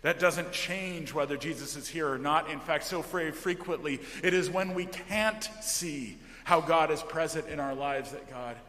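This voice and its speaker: An American man preaching a sermon as a pastor, earnest and emphatic, with phrases that often trail off softly. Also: on the high side, moderate pace, hardly any pauses. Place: large indoor church during worship. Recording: echoey church hall with long reverb.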